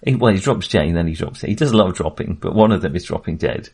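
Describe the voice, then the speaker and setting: Male podcast host, lowish pitch, conversational film chat, tentative phrasing with frequent rewording. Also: expressive, quick pace, gravelly, a little mellow, husky. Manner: hesitant, circling back to rephrase. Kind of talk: casual film discussion.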